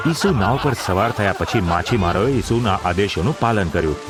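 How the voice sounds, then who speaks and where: A man's voice: on the low side, expressive, brisk. A man narrating a scripted audio drama in a formal, literary storytelling manner. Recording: music under the voice.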